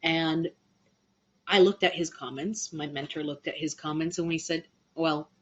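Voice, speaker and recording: A woman hosting a casual livestream, chatting to viewers in an animated, playful way, on dull lo-fi audio. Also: low voice, a little slow, slightly rough. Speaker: livestream host and band musician.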